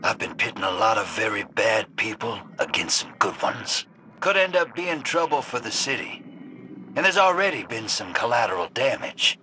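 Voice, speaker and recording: An actor voicing a shady, streetwise rogue and survivor in a video game, with slangy, conspiratorial swagger. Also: male voice, on the low side, very animated, normal speed, bright, forceful tone, slight background noise, heavily acted. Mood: chummy, confiding. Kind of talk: video game character dialogue.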